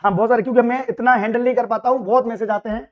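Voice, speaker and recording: A man who speaks with a Madhya Pradesh Hindi accent and has a hoarse, worn throat, giving students an excited, reassuring result update as their coaching teacher. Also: very high-pitched, very fast, lo-fi sound. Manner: excited, reassuring, repeats phrases. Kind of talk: casual direct-to-camera update.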